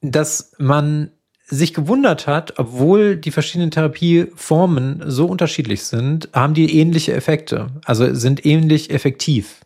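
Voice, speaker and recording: A man's voice: fairly high pitch, normal speed, gravelly, husky. Man explaining casually. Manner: relaxed, explains with fillers and tag questions. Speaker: psychotherapist guest. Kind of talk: casual podcast conversation.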